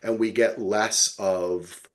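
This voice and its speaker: A man's voice: on the low side, steady tone, slow pace. A man hosting a podcast, talking in an enthusiastic, informal, slangy way as he casually reviews TV. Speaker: podcast host.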